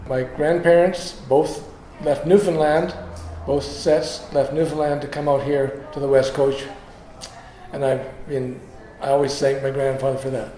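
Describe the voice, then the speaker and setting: Man, mellow dark tone, appreciative, roomy echo. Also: medium pitch, steady tone, measured pace. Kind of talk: interview answer. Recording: echoey.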